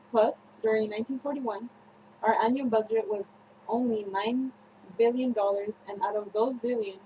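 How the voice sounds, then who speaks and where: A young woman presenting a scripted student newscast, reading haltingly with slips and self-corrections. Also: mid-range voice, dull audio, slight background noise. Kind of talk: scripted news-style narration for a history project.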